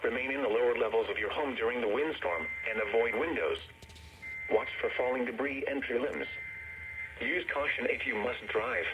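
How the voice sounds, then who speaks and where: A dull, lo-fi radio broadcast with clear noise carries a computerized man's voice. He has a medium pitch and announces official weather alerts in a measured, impersonal, scripted manner. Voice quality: synthetic text-to-speech voice. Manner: flat, measured, impersonal delivery. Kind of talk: formal scripted weather warning bulletin. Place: radio receiver playback of a broadcast.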